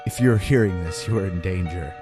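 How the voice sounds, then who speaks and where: A man's voice: deep voice, lightly acted. A man playing a frightened husband and father, recounting a haunting in grave, dramatic first-person horror narration. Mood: desperate warning. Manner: grave, dramatic, deliberate phrasing. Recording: loud music under voice.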